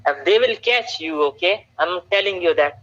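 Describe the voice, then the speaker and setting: A young man from Saudi Arabia, a guest on a casual live stream, talking haltingly with many repeats and fillers. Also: high-pitched, lively intonation, bright, forceful tone, highs cut off. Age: young adult.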